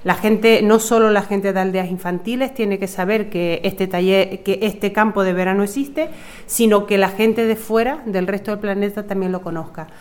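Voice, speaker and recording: Woman from tenerife explaining her camp, lots of pauses and restarts. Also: mid-range voice, fairly even intonation. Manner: hesitant, searching for words. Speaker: summer camp coordinator. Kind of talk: interview answer.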